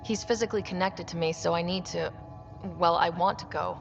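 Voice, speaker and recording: An actress playing an earnest young human space explorer in a video game, plain-spoken and diplomatic. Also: female voice, lowish voice, fairly even intonation, a little fast, creaky. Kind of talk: cinematic video game acting. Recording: dull game audio with faint music.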